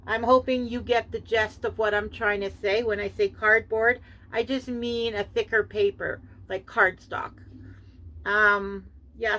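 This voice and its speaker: A woman's voice: medium pitch, sharp tone, rough recording. A middle-aged woman from Canada whose first language is German, talking casually and hesitantly through a crafting show-and-tell, with many fillers and self-corrections. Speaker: crafting vlogger.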